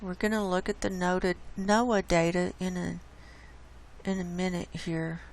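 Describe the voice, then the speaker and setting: A woman speaks in a hoarse, low-pitched voice. She keeps her tone flat and her pace slow, walking viewers through charts on her screen in a casual, unhurried way. A faint hiss sits behind her. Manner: unhurried, explains data on screen. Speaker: channel host presenting climate data. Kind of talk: casual screen-share presentation.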